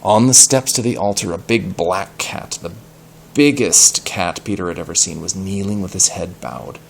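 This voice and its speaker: A man reading a story aloud in an animated style, pausing to explain words and ask questions. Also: low voice, creaky, slight background noise.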